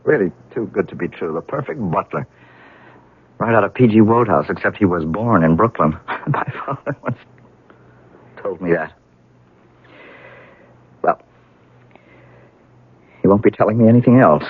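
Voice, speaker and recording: An actor playing a grieving, wry and bitter heir to a wealthy house in a 1970s radio drama, speaking haltingly. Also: male voice, lowish voice, lively intonation, husky. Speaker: actor in a radio mystery play. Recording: narrowband old radio broadcast, dry.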